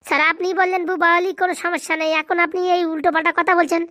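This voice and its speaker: A woman's voice: very high-pitched, flat delivery, rapid-fire, bright, forceful tone, no room sound, clean studio sound. A woman voicing a scheming, fretful male village sidekick in a comic cartoon, chattering deferentially in dialect. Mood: hesitant. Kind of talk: comic Bengali cartoon dialogue.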